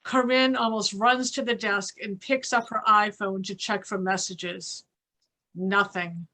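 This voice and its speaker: A woman's voice: medium pitch, fairly even intonation, a little slow, pushed and bright. A woman giving scripted narration, reading out the stage directions of a play.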